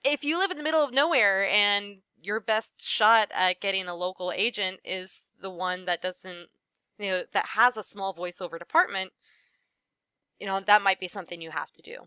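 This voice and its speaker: A woman's voice: mid-range voice, normal speed, sharp tone, clear, resonant tone, no echo. A young woman, a voice actress, chatting as an interview guest, laughing often and trailing off mid-thought. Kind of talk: casual interview chat.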